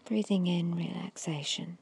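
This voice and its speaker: A woman giving an ASMR guided hypnosis in a hushed, breathy whisper, slowly and soothingly instructing with long pauses. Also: on the low side. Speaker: hypnotist guiding a meditation.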